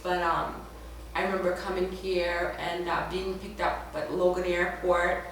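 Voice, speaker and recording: Echoey, lower-voiced young Ethiopian woman. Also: flat delivery, unhurried. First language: Amharic. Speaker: interviewee. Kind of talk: personal life story told in interview. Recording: echoey room, distant mic, faint noise.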